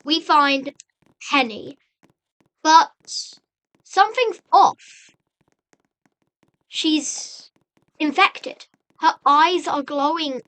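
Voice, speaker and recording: Woman, high-pitched bright voice, halting suspenseful storytelling, dramatic recap, repeated phrases. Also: rough recording. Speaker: video narrator and host.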